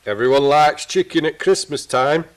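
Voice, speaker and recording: A man's voice: on the high side, moderate pace, far from the mic, close to an everyday voice. An actor playing a dim, eager Northern English henchman to a king in a comic audio drama.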